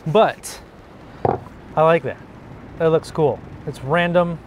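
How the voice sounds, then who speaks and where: A man's voice: on the high side, takes it slowly, a little hiss or hum. A man in his forties hosting a woodworking channel, explaining each step casually and thinking aloud as he works in an indoor workshop. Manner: relaxed, thinking aloud while working. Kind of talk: casual workshop how-to narration.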